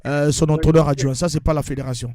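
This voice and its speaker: A man hosting a radio call-in show about football, calmly taking calls and reading out the station's details. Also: a little high, quick pace, crowd noise. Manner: brief, calm acknowledgements, reads out details. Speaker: radio sports show host.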